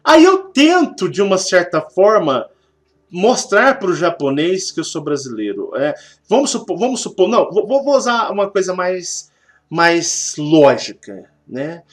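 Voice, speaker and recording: A man's voice: high voice, big pitch swings, unhurried. A Brazilian man with a Brazilian Portuguese accent, hosting a spiritual channel and explaining in a rambling way, repeating himself and using many fillers.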